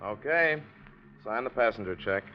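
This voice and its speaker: An easygoing ranger played by a man in a vintage radio play, with a lowish voice and a folksy, matter-of-fact way of talking. The recording is dull with faint noise. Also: animated, moderate pace, close to an everyday voice. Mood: easygoing, official. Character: easygoing male road ranger at a snowy checkpoint. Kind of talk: 1940s radio acting.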